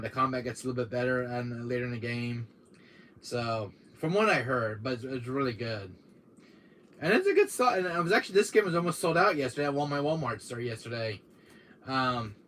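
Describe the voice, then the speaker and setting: A man with a deep voice hosting a casual gaming live stream, rambling with many fillers while talking to his chat, tired from a cold. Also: a bit bright, slight background noise. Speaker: gaming channel host.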